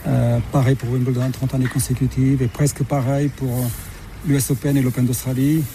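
Middle-aged man from Portugal, tennis referee interviewee, halting delivery full of fillers, dark mellow tone, faint background noise. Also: mid-range voice, little pitch movement. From Portugal, born in Mozambique, raised in Lisbon. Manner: hesitant, many fillers and restarts. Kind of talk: radio interview, recounting career.

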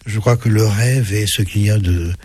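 A man in his seventies, a German native speaker raised in southwest France, answering as a guest author on a radio interview in a halting way with many restarts. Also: fairly low pitch, darkish tone, breathy edge, no room sound. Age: 70s.

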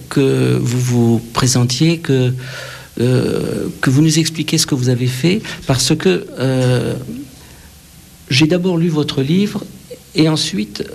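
A male host conducting a literary interview, his voice gravelly and husky. He speaks in formal, carefully built sentences, broken by hesitations. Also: medium pitch. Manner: measured, formal phrasing with hesitations.

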